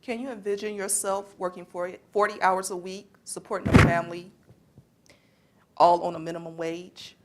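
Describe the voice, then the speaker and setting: A woman's voice: on the low side, little pitch movement, normal speed, a little hiss or hum, natural voice. A young woman performing scripted lines as a student in readers theater. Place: college library event. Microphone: worn microphone.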